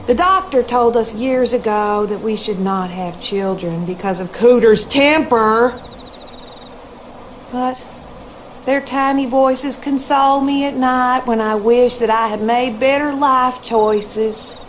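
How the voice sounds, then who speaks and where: Casting: genteel Southern wife, wistful and doting, very high voice, slow drawl, for a mystery game. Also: female voice, slight background noise, poor audio. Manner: genteel, plaintive, drawn-out. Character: wistful, genteel Southern wife who dotes on her dolls. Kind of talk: video game character acting.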